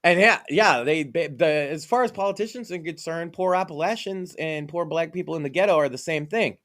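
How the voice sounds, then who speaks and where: Brash, profane man, higher voice. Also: brisk, fairly bright tone. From Massachusetts. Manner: profane, emphatic, opinionated. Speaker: podcast co-host. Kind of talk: casual podcast chat.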